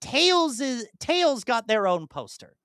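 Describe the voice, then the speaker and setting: A podcast host chatting casually in an animated, rambling way, slipping into imagined dialogue. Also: sits high, slow pace, clean tone, no room sound, clean studio sound. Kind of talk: casual chat, enthusiastic monologue about films.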